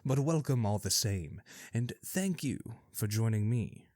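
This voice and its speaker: A man with a lowish voice hosts a scripted fantasy radio broadcast. He talks in a wry, theatrical manner, full of knowing asides. The sound is close and dry, with no room around it. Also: animated, a little slow, darkish tone, dry recording. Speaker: radio show host.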